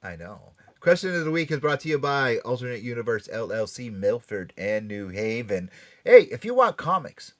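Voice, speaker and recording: A man hosting a casual pop culture talk show, speaking haltingly and often repeating and rephrasing himself. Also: medium pitch, big pitch swings. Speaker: podcast and radio show host.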